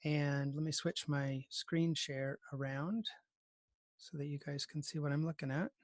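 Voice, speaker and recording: A man's voice: a little high, fairly even intonation, measured pace, no room sound. A man teaching conversationally on a video call, organizing his students with polite encouragement. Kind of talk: conversational online class instruction.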